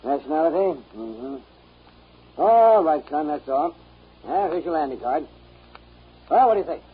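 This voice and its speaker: A man playing a brisk, gruffly kindly immigration official with clipped questioning, in 1930s radio acting. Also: fairly high pitch, animated, exaggerated voice. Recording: dull, noisy 1939 radio recording.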